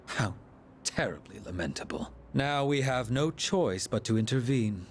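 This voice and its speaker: Man as a slow, theatrical, courteous villain. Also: mid-range voice, lively intonation, slight background noise, close to an everyday voice. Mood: grave, menacing. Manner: formal, measured, theatrical. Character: courteous, condescending villain, calm and menacing. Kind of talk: anime game dub acting.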